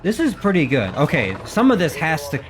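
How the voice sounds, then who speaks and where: A man's voice: on the high side, wildly swooping pitch, brisk, music in the background. A man giving casual reaction commentary as a gaming host, thinking aloud with mild exclamations.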